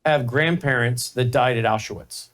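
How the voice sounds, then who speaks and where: A man's voice: mid-range voice, a little slow, fairly bright tone. A man hosting a news talk show, introducing guests and speaking in a direct, emphatic way.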